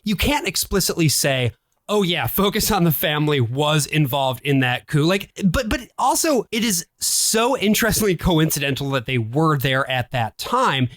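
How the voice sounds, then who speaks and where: Male co-host, somewhat high voice, animated intonation, casual podcast chat. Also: normal speed, no room sound, studio quality.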